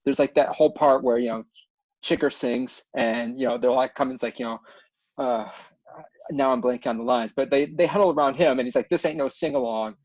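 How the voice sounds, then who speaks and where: Guy on a call chatting casually, mid pitch, dry and kinda muffled. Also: fairly even intonation, a little fast, a little mellow, no echo. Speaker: cast member and singer. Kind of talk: casual group chat. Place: video call.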